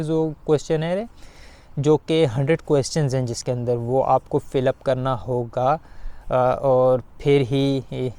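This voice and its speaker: A man explains things informally to his viewers. His voice is mid-pitched, smooth and slightly mellow. He often pauses on fillers and repeats himself as he guides them through the details. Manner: halting, repetitive explaining with frequent filler phrases. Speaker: video guide host.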